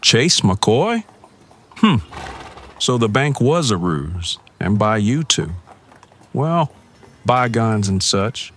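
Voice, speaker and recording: An Old West lawman for a radio western. He speaks slowly in a folksy drawl, with big swings in pitch and an unhurried, gruff authority. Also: very animated, fairly bright tone, a little hiss or hum, full character voice. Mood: folksy, approving. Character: gruff, slow-talking Old West sheriff, outwardly folksy.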